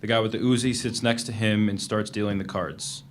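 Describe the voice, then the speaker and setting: A man's voice: lowish voice, steady tone, fairly quick, a little hiss or hum, close to an everyday voice. An actor playing a guarded, defiant prisoner, narrating his journal tersely in a dramatic reading of a novel. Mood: tense narration. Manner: plain, guarded, terse storytelling in present tense.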